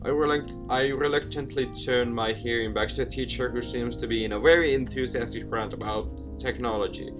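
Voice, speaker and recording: A man's voice: mid-range voice, steady tone, a little fast, few pauses, lo-fi sound, over music. A man hosting a gaming video, reading a visual novel aloud with theatrical flair and casual asides, stumbling over words. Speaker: video game let's player, host.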